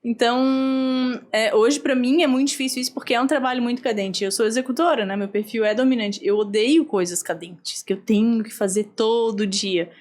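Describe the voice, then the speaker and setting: A woman's voice: on the high side, steady tone, normal speed, darkish tone. A Brazilian woman hosting a casual podcast chat. She talks bluntly and expressively, swears freely and acts out what other people said. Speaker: podcast host.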